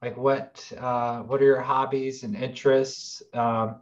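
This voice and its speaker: A man's voice: mid-range voice, flat delivery, a little slow, muffled recording. An American man hosting a podcast, asking questions in a loose, rambling way. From United States. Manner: rambling, many fillers and restarts. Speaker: podcast host. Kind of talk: casual interview chat.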